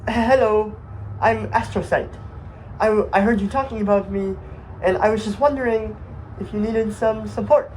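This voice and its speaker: Guy playing a shy needy cell character, stammery and high pitched, comedy skit, noisy recording. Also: normal speed, darkish tone, close to an everyday voice. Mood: timid, eager. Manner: hesitant, stammering. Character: timid, eager-to-help astrocyte cell, needy and supportive.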